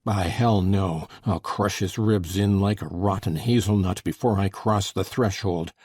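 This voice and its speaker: A man playing a furious, violent, brooding man in a dramatized classic novel, his voice rough and husky. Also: deep voice, measured pace, darkish tone, no room sound, studio quality.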